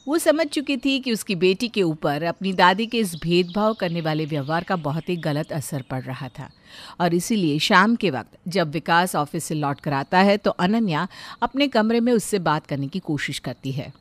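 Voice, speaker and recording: A woman narrating a moral family story in a steady storytelling manner. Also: fairly low pitch, normal speed, no echo.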